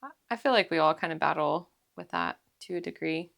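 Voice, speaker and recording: A woman hosting a podcast interview, encouraging her guest with quick affirmations in casual chat. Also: deep voice, gravelly, noisy background. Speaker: podcast host.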